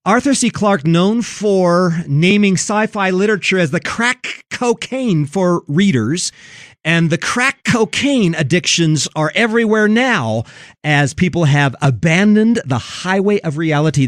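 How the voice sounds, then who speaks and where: Man, lively varied intonation, emphatic preachy delivery, cultural commentary, dry sound. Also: high-pitched, a little slow, no room sound. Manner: emphatic, preachy, repeats phrases for effect. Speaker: host. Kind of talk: religious commentary on film and culture.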